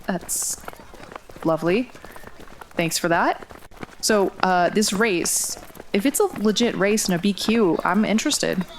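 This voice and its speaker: A woman's voice: mid-range voice, slow, long pauses, slight background noise, rough recording. A woman playing a determined, grieving young runner in an audio drama, wry, guarded and understated. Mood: wry, teasing. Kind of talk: audio drama acting, first-person narration and dialogue.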